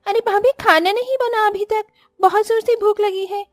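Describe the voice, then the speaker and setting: A very shrill-pitched young-sounding cartoon sister-in-law played by a woman, whiny and entitled. She is a glutton who orders food as her due, recorded close and dry. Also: fairly even intonation, normal speed, no echo. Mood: demanding. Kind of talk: cartoon moral story dialogue.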